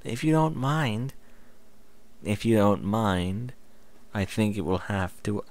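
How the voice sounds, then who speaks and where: A man's voice: on the low side, expressive, slow, darkish tone, pitched above the performer's own voice. A man voicing a bashful, puzzled female pony in a read-aloud story, tentative and questioning.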